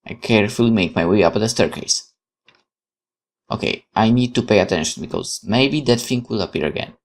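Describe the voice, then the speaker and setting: Animated, expressive let's play gamer. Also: mid-range voice, normal speed. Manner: expressive, exclaims and questions the text aloud. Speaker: gaming YouTuber. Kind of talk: let's play commentary, reading game text aloud.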